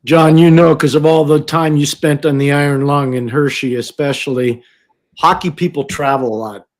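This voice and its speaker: A man with a somewhat high-pitched voice talks casual sports as a podcast co-host. He is emphatic in his delivery. He often repeats a phrase to drive it home, in the manner of an analyst. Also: fairly even intonation, moderate pace.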